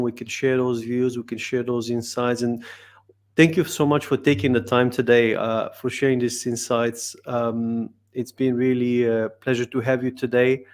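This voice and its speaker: A male host speaks politely and encouragingly at a middle pitch. He asks questions and thanks his guest, keeping the conversation moving. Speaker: podcast host. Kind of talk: interview hosting.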